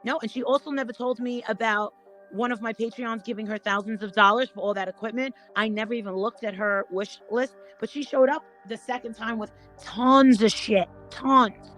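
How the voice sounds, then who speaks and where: A woman's voice: fairly high pitch, fairly even intonation, fairly bright tone, poor audio. Woman panel guest gossiping casually, stops n starts, repeats herself, music behind her. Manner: halting, rambling, repeats words.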